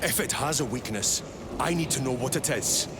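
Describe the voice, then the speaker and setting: An actor playing an exasperated, domineering military commander, forceful and demanding, in a dramatic sci-fi video game. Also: male voice, mid-range voice, lively intonation, moderate pace, very noisy, close to an everyday voice.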